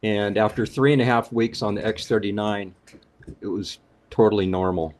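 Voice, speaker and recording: A man telling his testimonial on a video call, halting, with frequent restarts and fillers. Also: on the low side, fairly even intonation. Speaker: caller sharing a testimonial.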